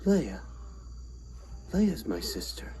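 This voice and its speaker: A man's voice: a little high, very animated, drawn-out words, dark tone, husky, full character voice. An actor playing an earnest, resolute young male Jedi hero in a storybook drama. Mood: troubled. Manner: earnest, firm. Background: music.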